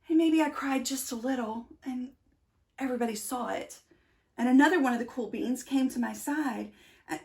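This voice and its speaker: Woman playing a shy uncool bean, high-pitched, stammering, self-deprecating, awkward, children's storybook character.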